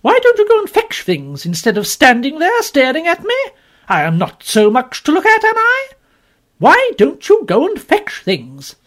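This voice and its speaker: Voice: big pitch swings, moderate pace, vocal fry, hardly any pauses, husky, heavily acted. A reader voicing a fierce, strong, red-haired woman who bawls and scolds indignantly. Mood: indignant scolding. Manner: shrill indignant bawling. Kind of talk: audiobook character voice.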